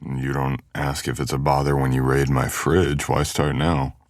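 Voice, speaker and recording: A man's voice: very deep voice, little pitch movement, normal speed, no echo, clean studio sound. A man playing a teasing, caring male best friend in an intimate boyfriend ASMR audio roleplay, with playful grumbling.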